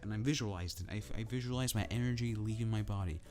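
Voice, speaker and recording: A man ranting casually to camera about football in a soft, calm, drowsy way. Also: fairly low pitch, fast, faint music behind. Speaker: football vlogger.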